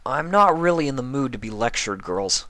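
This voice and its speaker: A young male cartoon pony, sulky and wounded, acted out by a man reading a story. He speaks earnestly and petulantly, raised in pitch, in a dry close sound. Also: lively intonation, normal speed, bright, forceful tone, dry recording. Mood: dejected.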